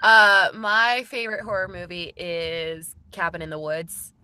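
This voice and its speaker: A woman's voice: fairly low pitch, flat delivery, unhurried, sharp tone, clean tone, no room sound. A woman chatting informally as a guest on a podcast panel. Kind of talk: casual chat.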